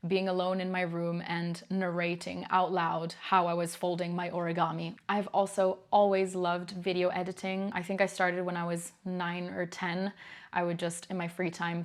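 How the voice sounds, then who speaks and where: Brief: young woman from Italy, casual vlog host, candid and chatty, laughs easily. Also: on the low side. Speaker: knitting YouTuber.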